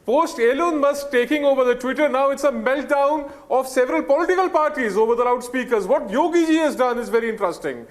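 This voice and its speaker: Man, Indian accent, very high pitch, very slow, emphatic debate panelist. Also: lively intonation. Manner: emphatic, deliberate, repeating key points. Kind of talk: political commentary on a TV news debate.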